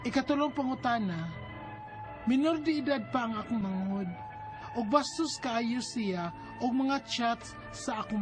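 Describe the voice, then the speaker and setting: A woman's voice: on the high side, lightly acted. A woman playing a worried, protective older sister with a Filipino accent in a radio drama, emphatic and exasperated.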